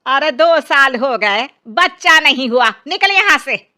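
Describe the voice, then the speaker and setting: Woman acting shrill, harsh scolding mother-in-law. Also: lively intonation, unhurried, pushed and bright, heavily acted. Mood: furious.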